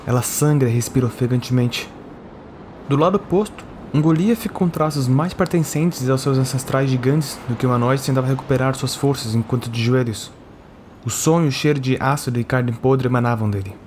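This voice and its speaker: A man's voice: mid-range voice, a little fast, a little mellow, a little hiss or hum. A man with a Brazilian accent delivering scripted fantasy narration as a tabletop game storyteller.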